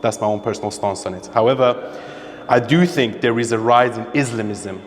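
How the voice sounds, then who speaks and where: A man's voice: on the low side, brisk, slight background noise. Man, from Iran, podcast guest, emphatic, repeats points, reverberant room. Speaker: interviewee, social media creator. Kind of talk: opinionated conversation.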